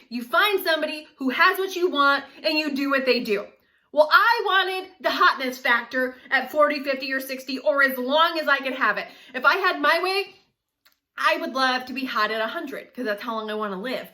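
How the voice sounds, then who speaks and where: Bright, high-voiced chatty woman in her forties. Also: normal speed. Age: 40s. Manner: chatty, animated, laughs often. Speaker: vlogger. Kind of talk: casual talk to camera.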